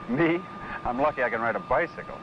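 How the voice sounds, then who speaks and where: A man's voice: medium pitch, lively intonation, fast, natural voice. A man playing an eager, modest trainee salesman in a training film, inquisitive and deferential. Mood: curious. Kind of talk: 1970 training film acting. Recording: muffled, hissy 16mm film soundtrack.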